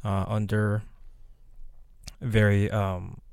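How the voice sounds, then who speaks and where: Korean-accented man, native Korean speaker, podcast co-host, halting and soft-spoken, low gravelly voice. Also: level tone, dry recording, clean studio sound. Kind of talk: casual personal storytelling.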